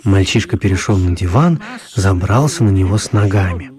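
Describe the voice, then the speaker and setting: A man's voice: low voice, moderate pace, a crowd in the background. A man narrating a scripted story for a children's radio play.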